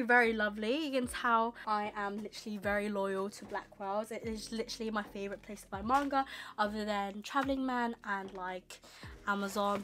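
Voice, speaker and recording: A young woman with a slightly husky voice from a tickly throat, rambling casually through a manga haul in her bedroom. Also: on the high side, a bit bright. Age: young adult. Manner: rambling, chatty, quick asides. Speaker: manga vlogger. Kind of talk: casual chatty haul vlog. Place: bedroom with manga shelves, indoors.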